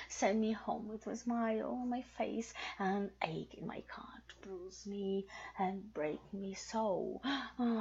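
A young woman in an intimate audio roleplay, speaking in breathy, pleading half-whispers on a dull, lo-fi recording. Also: medium pitch, a little mellow.

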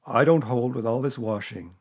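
A man's voice: mid-range voice, lively intonation, fairly quick, a little mellow, husky. A man voicing a gloomy, put-upon donkey who grumbles mournfully and sarcastically in a storybook reading. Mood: gloomy grumbling.

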